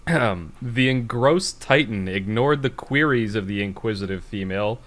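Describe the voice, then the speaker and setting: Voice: mid-range voice, expressive, normal speed. A podcast co-host who chats casually and reads aloud from a story, in a giggly manner prone to breaking into laughter. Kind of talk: casual banter and reading a bad story aloud.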